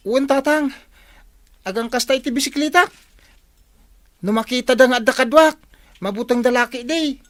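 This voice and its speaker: Woman doing hot-headed ilocano village kid, high boyish voice, stubborn and excitable, radio comedy. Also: normal speed, long pauses, dry recording. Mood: defiant, vengeful.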